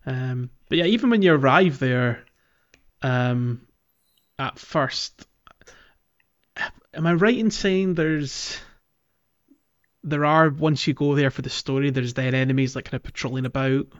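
A man's voice: medium pitch, a little slow, muffled recording. A man hosting a podcast, talking casually and reflectively about video games, with some hesitation as he goes. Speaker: podcast host. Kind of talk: casual video game discussion.

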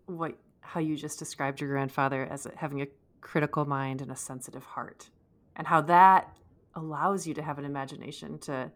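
A woman hosting a podcast, interviewing in a thoughtful, conversational way. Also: deep voice. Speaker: podcast host.

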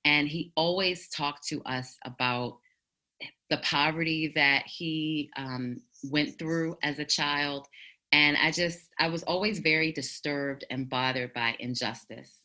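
Very low, bright-voiced woman. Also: unhurried. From United States. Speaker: guest, activist blogger. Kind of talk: reflective interview answers. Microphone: internet call.

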